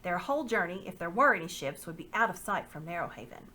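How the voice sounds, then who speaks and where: A woman's voice: lowish voice, lively intonation, fast, pushed and bright. A woman reading the part of a loyal middle-aged nobleman, speaking earnestly and courteously.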